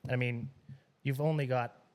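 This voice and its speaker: A man hosts a podcast interview, his voice sitting at an ordinary mid pitch. He asks his questions in a loose, friendly, chatty way. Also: steady tone, normal speed. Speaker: podcast host. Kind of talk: casual interview.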